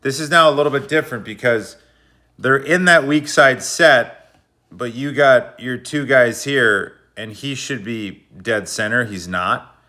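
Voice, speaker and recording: A man's voice: mid-range voice, a little slow, bright, forceful tone. A man giving a casual, slangy breakdown of hockey game footage, explaining plays like a coach. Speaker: hockey video analyst.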